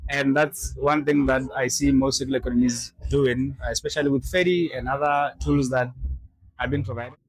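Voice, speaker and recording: A man speaking in a measured way in a documentary interview, pausing often with fillers. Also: medium pitch, fairly even intonation, no echo, low-quality recording.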